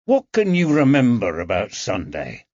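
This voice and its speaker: A man voicing a gentle, coaxing constable. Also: fairly low pitch, huge pitch swings, normal speed, no echo. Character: gentle, patient male police constable. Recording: dull, dry audiobook recording.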